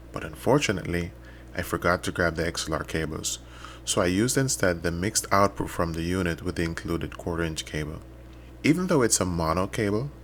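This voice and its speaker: A man with a low-pitched voice narrates a technical tutorial. He explains things methodically, step by step, in the manner of someone reading prepared instructions. Noticeable background noise runs under the recording. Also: fairly bright tone.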